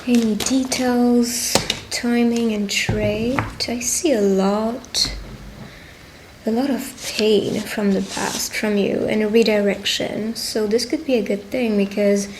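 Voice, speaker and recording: A woman speaking directly to the listener as a tarot reader in a witch roleplay, pausing as she goes. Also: on the high side, steady tone. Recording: clear background ambient noise.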